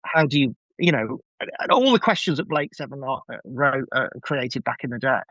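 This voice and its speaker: A man speaking as a podcast guest in casual discussion, halting and repeating words as he builds his point. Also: mid-range voice, expressive, bright, forceful tone, no echo, low-quality recording.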